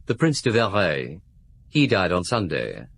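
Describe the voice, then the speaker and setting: An actor playing a count's well-bred, hesitant nephew in a radio drama, speaking politely and a little haltingly. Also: male voice, deep voice, wildly swooping pitch, vocal fry, exaggerated voice. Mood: polite, insinuating.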